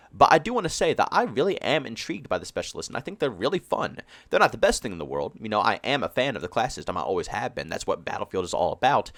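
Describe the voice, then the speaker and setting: A young man talks through a gaming commentary with a stuffed-up, congested voice. He speaks fast, and his pitch swings widely as his emphatic rant builds. The recording is close and dry. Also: creaky, dry recording. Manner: emphatic, ranting, builds to excited peaks. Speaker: gaming YouTuber.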